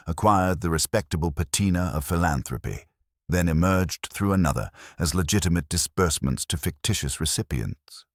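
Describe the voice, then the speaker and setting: A man narrating a mystery story in a measured, formal, literary manner. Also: sits low, dry recording, clean studio sound. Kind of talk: scripted audiobook-style narration of a mystery story.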